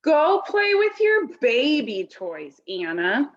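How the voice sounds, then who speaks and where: A woman voicing a scowling, bossy young boy who loudly dismisses his little sister in a storybook. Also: high voice, wildly swooping pitch, slow pace, highs cut off, voice pushed up, heavily acted. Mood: scornful, annoyed. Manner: loud, dismissive.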